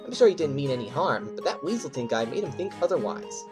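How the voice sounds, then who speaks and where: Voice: medium pitch, very animated, fast talker, music in the background, close to an everyday voice. An actor playing a cheerful, cocky male raccoon drifter in an audio drama. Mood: breezy. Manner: breezy, cocky.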